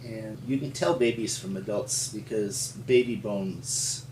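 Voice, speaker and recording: An older man from rural Montana with an American accent, telling his story in an interview in a halting, folksy, explanatory way. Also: on the low side. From rural Montana, United States. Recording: roomy, distant mic with faint hum.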